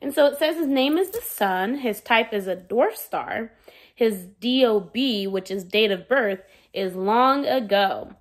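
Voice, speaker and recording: Slow-speaking, higher-voiced American woman teacher. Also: animated. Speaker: schoolteacher. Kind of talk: read-aloud and explaining to young children. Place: living room at home, indoors.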